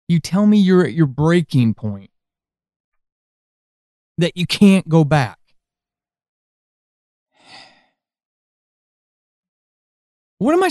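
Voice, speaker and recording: A man playing a worried, frustrated friend in an audio drama monologue, speaking haltingly and intensely. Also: fairly high pitch, warm tone, dry recording, studio quality. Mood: angry, hurt.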